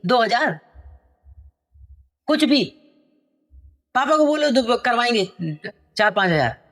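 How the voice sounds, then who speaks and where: A man's voice: extremely high pitch, lively intonation, fairly bright tone, pitched above the performer's own voice, full character voice. A man doing a furious spoiled young son. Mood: indignant. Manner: indignant, raised voice, rapid outbursts. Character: angry entitled young son, shouting.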